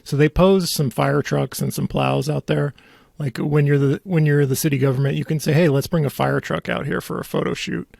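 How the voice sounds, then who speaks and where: A man's voice: on the high side, fairly even intonation, fairly quick, vocal fry, darkish tone, no room sound, studio quality. A man hosting a local politics show, delivering indignant, opinionated commentary with emphatic repetition. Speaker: podcast host.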